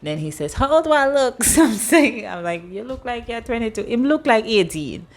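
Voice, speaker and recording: A woman in her thirties with a Jamaican accent, hosting a casual chat and telling stories with acted-out dialogue. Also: moderate pace. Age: 30s. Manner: animated storyteller, acts out dialogue in high voices. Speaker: host.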